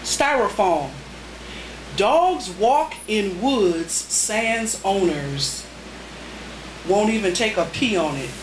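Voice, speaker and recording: A middle-aged woman reading poetry live, with deliberate, dramatic phrasing and pauses. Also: medium pitch, lively intonation, recorded from a distance. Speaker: poet, featured reader. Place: indoor cafe reading. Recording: noisy, echoey room recorded from afar.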